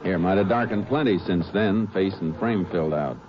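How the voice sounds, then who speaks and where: A male actor plays a Texas Ranger in an old radio drama. He is American, calm and laconic, with a low voice. The recording is muffled. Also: normal speed, background noise, natural voice. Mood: calm, deliberate. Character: steady, laconic Texas Ranger, lead investigator and narrator. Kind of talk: 1950s radio drama acting.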